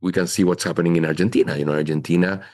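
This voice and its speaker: A middle-aged man with a very low voice answers interview questions. He explains at a measured pace, often stopping to say "you know" as he lays out his points. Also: steady tone, darkish tone. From Chile. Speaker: investment officer guest.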